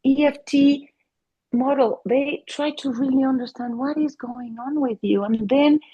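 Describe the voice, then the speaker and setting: A woman's voice: sits high, fairly even intonation, unhurried, mellow, breathy edge, muffled recording. A middle-aged woman from Mexico, a Spanish native speaker with a Mexican accent, talking about her work as a family therapist in an interview, earnest and full of restarts. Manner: earnest, many restarts and fillers. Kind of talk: interview conversation.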